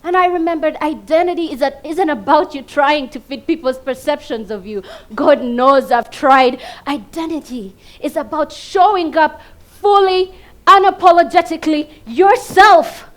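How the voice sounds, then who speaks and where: A Ugandan woman performing a theatrical comic monologue, emphatic and dramatic, swinging between hushed and loud delivery. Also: high-pitched, normal speed. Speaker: competition contestant performing a monologue. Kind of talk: theatrical comedic stage monologue.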